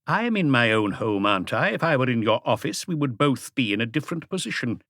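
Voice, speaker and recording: A male narrator plays a wealthy art collector in an audiobook mystery, pitched higher. He is haughty and guarded, answering with defensive, indignant politeness. The sound is dry and close. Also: sing-song, quick pace, fairly bright tone, dry recording. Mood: guarded, defiant. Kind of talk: audiobook character dialogue.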